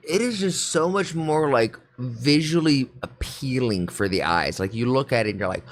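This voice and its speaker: A man reacting to a video with casual, crude, joking commentary. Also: mid-range voice.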